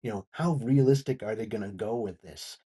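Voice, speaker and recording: Halting, husky man reviewing drama. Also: on the low side, dark tone. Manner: halting, repetitive phrasing. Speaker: guest reviewer on a talk show. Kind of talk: unscripted audio drama review.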